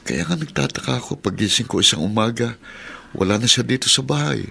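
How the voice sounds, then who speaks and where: A man's voice: medium pitch, fairly quick, creaky, pushed and bright, husky, full character voice. A man playing a worried father in a Filipino radio drama, speaking politely and in a subdued way.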